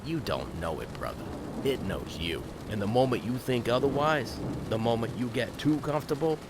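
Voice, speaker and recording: An actor playing a gruff, cynical, talkative police detective in an audio drama, sardonic and full of streetwise slang. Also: male voice, medium pitch, lively intonation, moderate pace, background noise, close to an everyday voice. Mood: ominous, musing. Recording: music behind the voice.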